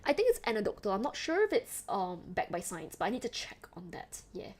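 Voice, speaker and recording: A young woman from Singapore with a softened Singaporean accent, chatting casually with her stream chat, rambling with lots of fillers and laughs. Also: medium pitch, wildly swooping pitch, a little fast. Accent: Singaporean, softened toward Western English.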